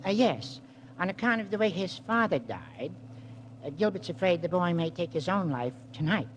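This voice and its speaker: Meek fussy detective, high voice, hesitant, old radio show with some noise. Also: male voice, lively intonation, moderate pace. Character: meek, literal-minded male small-time detective. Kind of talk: 1940s radio drama acting. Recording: noisy vintage radio recording.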